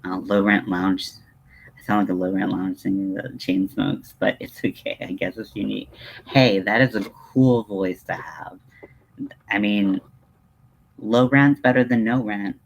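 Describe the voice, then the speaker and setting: Low voiced guy rambling on a livestream, slow and hesitant, kinda self-deprecating. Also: fairly even intonation, vocal fry, dull audio. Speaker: livestream host. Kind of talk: casual rambling livestream chat.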